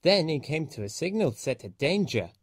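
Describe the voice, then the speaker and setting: A man reading a children's story aloud as an expressive storyteller. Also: on the high side, normal speed, no echo, clean studio sound.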